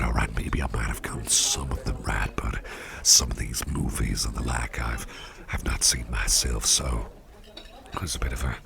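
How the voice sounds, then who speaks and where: Man voicing a devilish boss, cavernously deep and gravelly, effusive soft whispers, party crowd behind. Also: full character voice. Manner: effusive, softly whispered praise. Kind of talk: ASMR roleplay.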